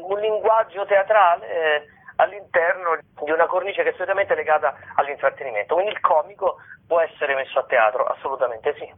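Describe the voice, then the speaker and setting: A man with a fairly high voice comes through a narrow, telephone-like radio sound. He talks informally as a guest performer, circling back over his words and often adding little tag questions. His delivery is halting and rambling. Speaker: guest, comedian and stage performer presenting his shows. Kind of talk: informal radio interview talk.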